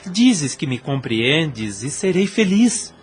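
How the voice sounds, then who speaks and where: A man's voice: on the high side, big pitch swings, takes it slowly, faint music behind. A Brazilian man playing a lovesick former priest in an audio drama, pleading insistently in formal, impassioned speech. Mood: pleading, apologetic.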